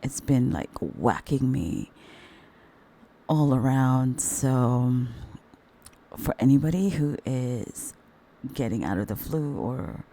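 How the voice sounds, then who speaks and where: A middle-aged woman with a hoarse voice from the flu, chatting casually with her viewers as host of a commentary channel and calling them darlings. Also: lively intonation, slow pace, long pauses, dark tone. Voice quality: very deep voice.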